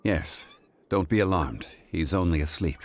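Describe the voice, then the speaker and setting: A man playing an ancient, composed god of contracts in a video game, speaking with formal, measured eloquence. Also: low voice, background noise, close to an everyday voice. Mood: calm, reassuring. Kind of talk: scripted video game dialogue. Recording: game audio with music behind. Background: background music.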